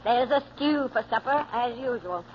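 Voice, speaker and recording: A woman's voice: on the high side, animated, normal speed, over loud music, natural voice. An actress playing an anxious, scolding middle-aged mother in a 1940s radio drama, fretful and sarcastic. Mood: exasperated. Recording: dull, noisy vintage radio recording.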